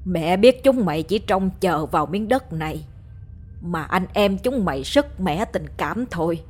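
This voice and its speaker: A woman's voice: lowish voice, animated, unhurried, faint music behind. A woman voicing a weak, reproachful elderly mother.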